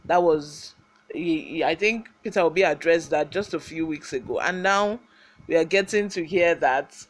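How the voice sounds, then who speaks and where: A man with a Nigerian accent presenting online news, reading reports and adding informal commentary. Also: fairly high pitch.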